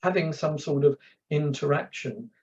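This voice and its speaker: London man telling stories, mellow tone. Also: fairly high pitch, normal speed, highs cut off. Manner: anecdotal, repeats words when starting. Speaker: guest, public speaking coach. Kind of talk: casual interview chat.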